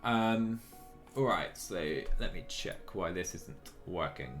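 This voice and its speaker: Low-voiced man streaming over music. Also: animated, takes it slowly, fairly bright tone. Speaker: live streamer playing a cycling management game. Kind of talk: casual live-stream chat and game commentary. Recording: music playing behind the voice. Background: background music.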